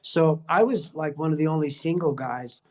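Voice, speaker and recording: Older man, slightly high-pitched, reminiscing earnestly. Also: normal speed. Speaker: musician being interviewed. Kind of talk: casual reminiscing in a group interview.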